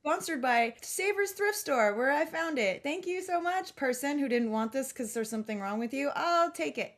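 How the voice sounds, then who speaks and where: A woman co-hosts a comedic podcast in a fairly high voice. She chats casually and animatedly, swearing freely and slipping into little acted-out quotes. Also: lively intonation, normal speed. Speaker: podcast co-host.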